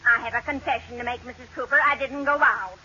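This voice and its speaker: A deadpan, matter-of-fact maid from a golden-age radio comedy. Her voice is high and sharp. The old recording is muffled, with faint noise. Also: fast talker. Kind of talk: 1940s-50s radio sitcom acting.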